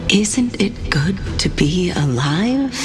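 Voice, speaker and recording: An actress playing a grave, aloof yet concerned female guardian in a video game, speaking solemnly and deliberately. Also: on the low side, expressive. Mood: grave, questioning. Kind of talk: scripted video game voice acting. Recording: loud music behind the voice. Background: game music.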